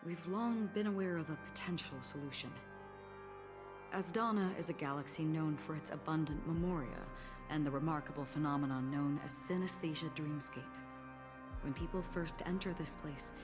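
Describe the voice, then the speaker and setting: A woman playing a calm, analytical strategist in a video game, composed and explanatory. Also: low-pitched, lightly acted. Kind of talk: video game character acting.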